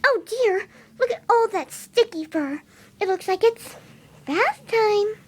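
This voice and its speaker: Woman voicing a very high-pitched, timid pegasus pony. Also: animated, slow, exaggerated voice. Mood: fretful, motherly. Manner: nervous, hesitant stammering. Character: timid, gentle, motherly female pegasus pony. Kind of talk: fan fiction dramatic reading.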